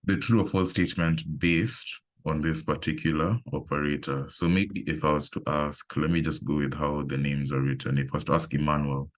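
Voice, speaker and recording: A man with a very deep voice leading a live online coding lesson, thinking aloud with pauses and fillers. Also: fairly even intonation. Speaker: coding instructor.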